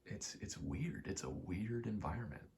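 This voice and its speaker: A man's voice: on the low side, lively intonation, normal speed, dark tone, a little hiss or hum. A young American man in his twenties rambles in a casual, candid ASMR chat, swearing now and then. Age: 20s. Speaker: ASMR rambler and aspiring actor.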